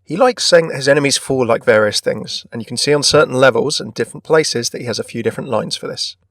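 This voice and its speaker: A man's voice: mid-range voice, fast, a bit bright, dry recording, clean studio sound. A man presenting a gaming video in a scripted narration style. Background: background music.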